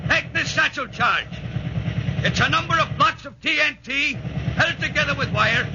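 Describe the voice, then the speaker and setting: An actor playing a tough, sarcastic Irish commando sergeant major in a 1940s radio drama, with a rough, commanding voice. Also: male voice, very high-pitched, background noise. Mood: shouted instruction. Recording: dull vintage 1940s radio recording.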